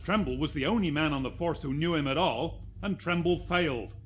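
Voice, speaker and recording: An actor playing a harried American police inspector, brusque and exclamatory, in 1940s radio drama style. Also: male voice, a little high, brisk, fairly bright tone, slight background noise, close to an everyday voice. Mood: worried. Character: gruff, harried, excitable male police inspector.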